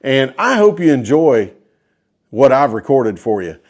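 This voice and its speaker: A man's voice: mid-range voice, big pitch swings, measured pace, a little mellow. A man with an American accent telling a story casually to viewers in a folksy, enthusiastic way, as the host of a guitar video.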